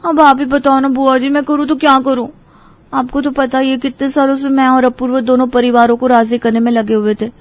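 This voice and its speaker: A woman's voice: high voice, flat delivery, fairly quick, no echo, lo-fi sound, natural voice. A woman playing an anxious, earnest young bride-to-be in a Hindi audio story drama. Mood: distressed, pleading.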